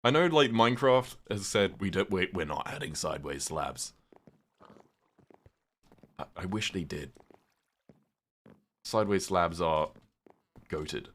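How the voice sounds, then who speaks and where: A young man with a British accent and a notably deep voice talks loosely to his live chat as he plays. He drifts between topics, filling pauses with "um" and "like". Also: animated, a bit bright. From Britain. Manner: rambling, chatty, lots of "um" and "like". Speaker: streamer. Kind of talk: casual live-stream chat while gaming.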